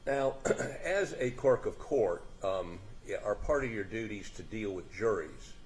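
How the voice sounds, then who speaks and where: A man questioning a witness in a courtroom, halting and restarting his questions in a folksy way. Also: lowish voice, animated. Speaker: defense attorney questioning a witness. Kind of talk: courtroom examination. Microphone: courtroom microphone.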